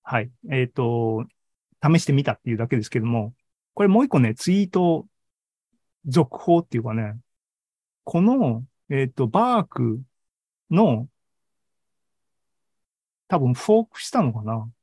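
A man hosts a casual online presentation in a mid-pitched voice. He speaks haltingly, thinking aloud between points. The sound is dry, with little room in it. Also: sing-song, darkish tone, breathy edge, no room sound. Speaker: podcast host and tech presenter. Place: online video meeting talk, dry close sound.

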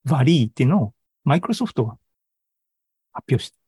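A man with a soft-edged, mellow and rather husky voice gives an informal tech presentation. He talks conversationally, dropping in asides and little tag words as he explains. The sound is close and dry. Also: medium pitch, sing-song, moderate pace, no room sound. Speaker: presenter at an AI hobby forum and podcast host. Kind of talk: informal live tech presentation over an online meeting. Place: online Zoom live session.

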